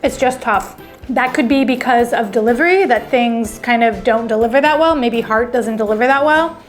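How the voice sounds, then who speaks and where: A woman's voice: on the high side, normal speed, soft background music. Chatty woman tasting food on camera. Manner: chatty, thinks aloud while tasting. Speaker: food vlog host. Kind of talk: casual food tasting vlog.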